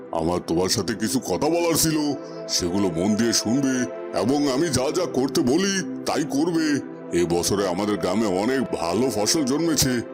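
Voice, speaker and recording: A man's voice: fairly low pitch, natural voice. Commanding village landlord played by a man. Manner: authoritative, instructing. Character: commanding middle-aged village landlord, proud father. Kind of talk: cartoon voice acting in a fairy tale.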